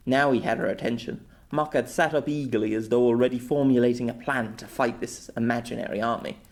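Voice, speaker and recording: A man's voice: mid-range voice, fairly even intonation, brisk, a little mellow. A man reading scripted story narration as an amateur audiobook narrator.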